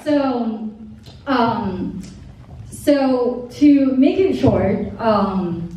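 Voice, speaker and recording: A woman's voice: fairly high pitch, expressive, extremely slow, mellow. Filipino-accented woman, echoey distant room. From Philippines. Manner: rambling, many fillers, stumbling English. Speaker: modeling agency owner giving a speech. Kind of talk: heartfelt speech at a party table. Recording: distant mic in a very echoey room with faint noise.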